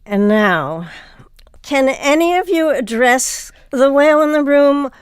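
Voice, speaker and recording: A woman moderating a panel, putting measured questions and remarks to the group. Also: sits high, lively intonation, no room sound, studio quality.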